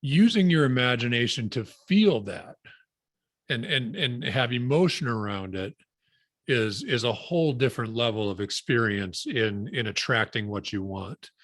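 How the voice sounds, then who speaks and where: Hoarse man hosting a webinar, dry sound. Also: mid-range voice, expressive, a bit bright, dry recording. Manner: halting, with many fillers and restarts. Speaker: webinar host. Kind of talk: interview conversation.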